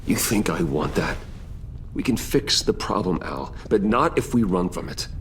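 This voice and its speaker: Brooding hero, low voice, lively inflection, earnest, restrained, determined, game drama, faint rumble. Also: male voice, moderate pace. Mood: troubled, apologetic. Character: brooding, determined adult male vigilante billionaire. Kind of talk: dramatic video game acting.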